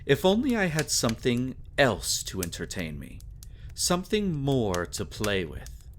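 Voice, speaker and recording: A man playing a sadistic, possessive vampire lord in a dramatic roleplay monologue, theatrical and taunting, with silky condescension and drawn-out phrasing. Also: mid-range voice, wildly swooping pitch, a little hiss or hum. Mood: silky, bargaining.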